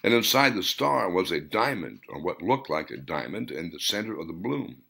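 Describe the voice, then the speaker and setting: A man reading his own novel as a dramatic audiobook, in deliberate, theatrical short phrases. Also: low voice, very animated, creaky, sharp tone, husky.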